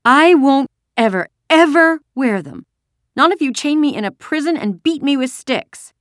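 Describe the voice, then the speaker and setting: A woman's voice: sits high, animated, moderate pace, no room sound, studio quality, voice pushed up. A woman voicing a stubborn, sulky little girl in an audiobook, wailing and bellowing with emphasis. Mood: defiant, tearful. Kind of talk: acted children's audiobook dialogue.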